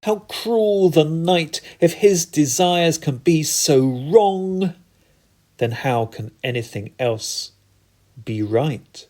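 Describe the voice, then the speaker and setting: A man performing narrative poetry in a theatrical, deliberate manner with dramatic pauses and emphatic repetition. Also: on the high side, animated, darkish tone. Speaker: spoken-word poet.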